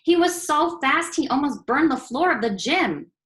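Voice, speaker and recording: Storybook schoolgirl, played by a woman: excitable, wide-eyed, encouraging, emphatic, pitched a bit high. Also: moderate pace. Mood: excited. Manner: eager, emphatic.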